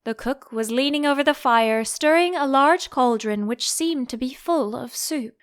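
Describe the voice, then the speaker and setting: A woman reading a children's storybook aloud as an expressive audiobook narrator. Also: high-pitched, moderate pace, smooth.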